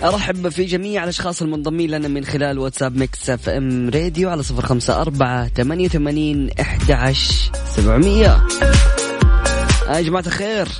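A man's voice: fairly high pitch, fast. A man with a Saudi accent presenting a morning radio show in a chatty, familiar, affectionate way. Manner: chatty, familiar, addresses listeners and callers affectionately. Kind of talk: lively radio presenting with a phone-in quiz. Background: music bed.

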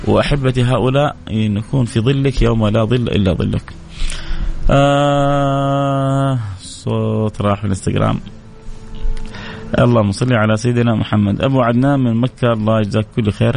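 A man's voice: fairly low pitch, creaky, darkish tone, slight background noise. A man hosting a live radio program, reading out listeners' names and messages and answering each with a blessing.

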